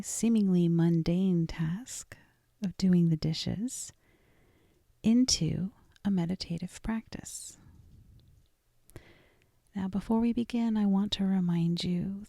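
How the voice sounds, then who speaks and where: A woman leading a guided meditation in a soft, gentle, deliberate voice that often trails toward a whisper, with frequent pauses. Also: lowish voice, gravelly, no room sound, clean studio sound. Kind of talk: guided meditation, scripted and soothing.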